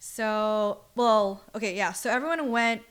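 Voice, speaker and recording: A young American woman telling stories in a casual, slangy, excitable way as a podcast guest. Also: medium pitch, a little slow, smooth. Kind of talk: casual storytelling chat.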